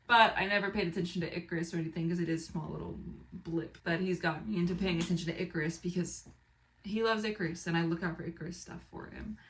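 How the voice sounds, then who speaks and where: A woman vlogger chatting casually and excitedly to the camera, animated and rambling with expressive asides. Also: lowish voice. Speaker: book haul vlogger and fiction author.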